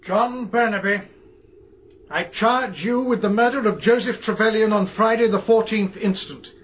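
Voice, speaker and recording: An actor playing a stern police inspector with an official, declamatory manner in a radio mystery. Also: male voice, sits high, moderate pace, a bit bright. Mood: stern, formal. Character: stern English police inspector making a formal arrest. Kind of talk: radio mystery drama acting. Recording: faint background noise.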